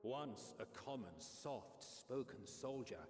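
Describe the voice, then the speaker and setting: Actor as grave chronicler guy narrating a game, echoey, measured and wistful, music underneath. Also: medium pitch, darkish tone, lightly acted. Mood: solemn. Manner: solemn, measured, literary. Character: grave male chronicler, solemn and wistful storyteller. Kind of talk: scripted cinematic game narration. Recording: echoey, long reverb.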